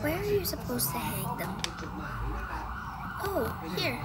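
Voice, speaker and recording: A woman's voice: sits high, very animated, slow, very noisy. A young woman giving casual commentary on a game she is playing, chatting to her viewers and reading the characters' lines aloud. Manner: chatty, talks to viewers as y'all. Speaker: gameplay video creator.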